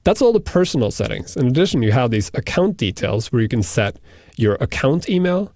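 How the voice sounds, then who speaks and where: Male software tutorial instructor, Norwegian native speaker, methodical step-by-step explainer, mid pitch, dry close sound. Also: big pitch swings, fairly quick, no echo. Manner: explanatory, walks through settings step by step. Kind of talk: screen-recorded software tutorial.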